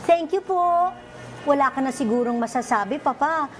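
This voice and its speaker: Woman as young daughter, Filipino accent, grateful, eager student, radio drama delivery, higher-pitched. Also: animated, normal speed, faint music behind. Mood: happy, eager.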